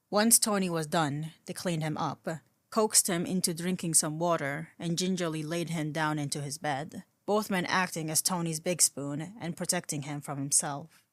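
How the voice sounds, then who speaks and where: A woman's voice: low-pitched, no echo, studio quality. A woman reading scripted fan fiction as a dramatic story narrator. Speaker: fan fiction audiobook reader.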